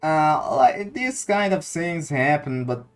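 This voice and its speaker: A man's voice: fairly high pitch, expressive, slow, faint music behind. A man commenting casually on gameplay, hesitant and repeating words as he thinks aloud. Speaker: gaming streamer.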